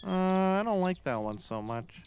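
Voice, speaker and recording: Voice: medium pitch, very animated, unhurried, a little mellow, clean tone. A performer playing a whiny, meek, put-upon man, a prissy former agent in a scripted comedy. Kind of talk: scripted audio comedy acting.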